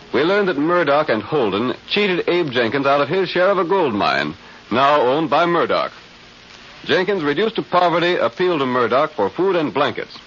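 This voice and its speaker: A man's voice: medium pitch, fairly bright tone. A man narrating a western radio serial as a dramatic announcer, declaiming formally in a vintage scripted style. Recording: muffled vintage radio recording, faint hiss.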